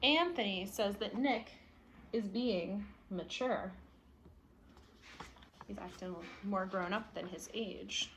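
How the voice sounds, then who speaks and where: A woman reading a children's picture book aloud expressively, with soft explanatory asides for young listeners. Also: mid-range voice, slow, pauses often, background noise. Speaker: read-aloud storyteller.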